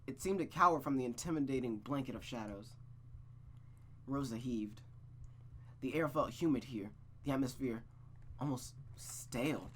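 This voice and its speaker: A man's voice: medium pitch, normal speed, pauses often, a bit bright, a little hiss or hum. A man reading a visual novel aloud in a suspenseful storytelling style, with playful, casual asides.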